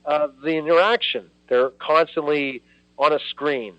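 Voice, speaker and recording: A man's voice: fairly high pitch, steady tone, a little slow, sharp tone, dry recording. A man hosting a live radio interview from a studio, talking in an enthusiastic, chatty, rambling way. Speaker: radio host. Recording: dry lo-fi radio audio.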